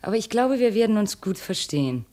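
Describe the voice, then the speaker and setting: Arch, lively actress as a young false princess. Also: female voice, mid-range voice, brisk, natural voice. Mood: coy, commanding. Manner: arch, teasing, commanding. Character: shrewd young slave woman coolly posing as a princess. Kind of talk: 1950s radio play acting.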